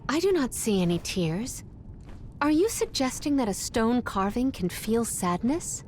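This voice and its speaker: A woman's voice: fairly high pitch, big pitch swings, a little mellow, slight background noise. A woman voicing a composed, poetic young woman in a video game, speaking formally and precisely. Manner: formal, measured, precise wording. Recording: game music behind the voice.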